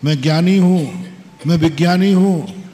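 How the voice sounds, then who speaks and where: Slow, echoing preaching monk. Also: male voice, fairly high pitch, mellow. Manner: slow, emphatic preaching with rhetorical questions and repeated maxims. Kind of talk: sermon. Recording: long hall reverb.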